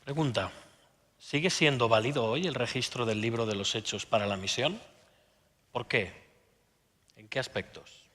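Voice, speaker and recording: A man moderating a panel, reading out questions in a quiet, measured way. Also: mid-range voice. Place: conference hall. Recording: reverberant hall, distant from the mic.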